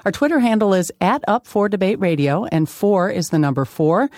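A woman hosting a talk radio show, with clear, steady presenter delivery in a studio. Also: medium pitch, normal speed, dry recording. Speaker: radio host. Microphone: studio microphone.

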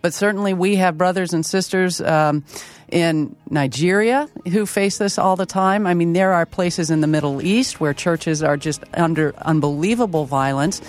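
A woman hosting a talk radio show, with clear, steady presenter delivery in a studio. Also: fairly low pitch. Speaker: radio host. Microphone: studio microphone.

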